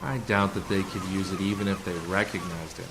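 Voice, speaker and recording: A man playing a calm, assured undercover rescuer in a sci-fi radio play, speaking in a measured, explanatory way. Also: sits low. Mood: calm, explaining. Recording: noisy community radio drama recording.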